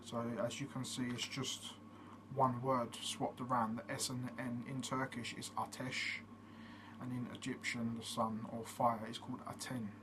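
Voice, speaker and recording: A man hosting his own channel, giving a casual explanatory monologue in a steady way, with frequent fillers. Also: medium pitch, normal speed. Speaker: channel host. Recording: noticeable background noise.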